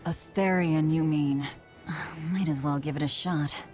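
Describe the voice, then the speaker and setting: A woman's voice: sits low, steady tone, measured pace, a little mellow. A woman voicing a brooding, curt young female pirate in an anime game, blunt, dry and stern. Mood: dry, indifferent. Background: game music.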